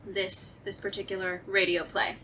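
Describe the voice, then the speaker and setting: A woman in a casual chat as a writer and actor, talking in an animated, conversational way and often quoting her own thoughts. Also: mid-range voice, normal speed, a little hiss or hum, low-quality recording. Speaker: writer and voice actor on a radio play talkback.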